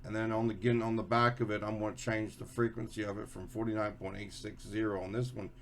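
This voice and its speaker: A man giving a casual, unscripted hands-on explanation as a hobby video host, halting and often repeating and rephrasing himself. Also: lowish voice, flat delivery, fairly bright tone.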